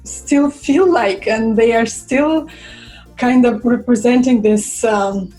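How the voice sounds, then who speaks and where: A young woman from Russia with a Russian accent, chatting casually to camera and pausing for words. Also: fairly high pitch, warm tone, soft background music, low-quality recording. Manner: chatty, searching for words with fillers. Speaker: vlogger.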